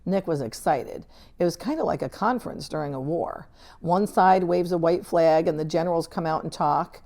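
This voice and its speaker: A woman's voice: on the low side, normal speed, warm tone. A woman reading a children's book aloud as a scripted narration. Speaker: reader.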